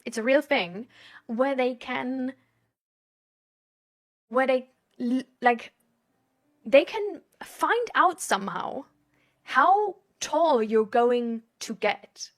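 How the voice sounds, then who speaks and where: A woman's voice: sits high, expressive, very slow, long pauses, fairly bright tone. A woman from Germany chatting casually as a live host, rambling and thinking aloud in a hesitant, giggly way. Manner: giggly, laughs often while talking. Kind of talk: casual chat.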